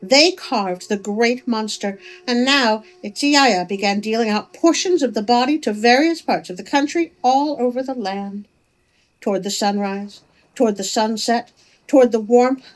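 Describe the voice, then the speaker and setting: A woman narrating a folk tale as a storyteller, with expressive delivery. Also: fairly high pitch, low-quality recording. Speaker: storyteller, host of a story channel. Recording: music under the voice.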